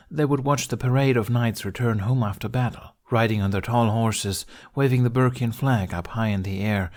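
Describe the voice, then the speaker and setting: A man's voice: lowish voice, a little mellow. A man reading scripted fan fiction aloud as an amateur story narrator.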